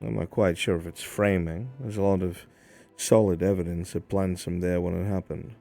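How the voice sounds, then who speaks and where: A man playing a cynical, blunt imperial official in improvised fantasy roleplay, speaking in a dry, measured way with a gravelly voice and frequent throat-clearing. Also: deep voice, steady tone, mellow, no echo, faint music behind. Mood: matter-of-fact.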